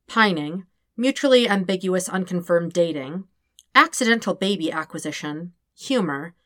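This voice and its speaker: Woman narrating a story, very lively pitch. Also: no echo, clean studio sound. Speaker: podfic reader. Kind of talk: expressive narration of fan fiction.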